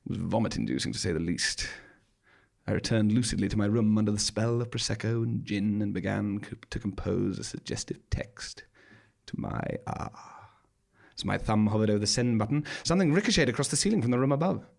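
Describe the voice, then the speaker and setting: Male monologue performer with gravelly creak, dry wit and dramatic stage storytelling. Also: fairly low pitch, a little fast. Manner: arch, droll, dramatic delivery. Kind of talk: theatrical scripted first-person storytelling. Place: small theatre stage, live performance.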